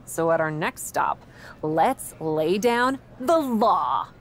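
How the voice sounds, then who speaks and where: A woman's voice: on the low side, animated, takes it slowly. Cocky playful lady wrestler, pun-loving, faint hiss in the back. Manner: playful and confident. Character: confident, punning female wrestling champion.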